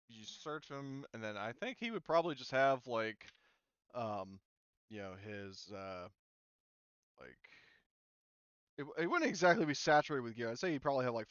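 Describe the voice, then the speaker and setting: A man's voice: on the low side, a bit bright, smooth, no echo, dull audio. A man acting as game master, casually narrating a tabletop game in informal, profane language.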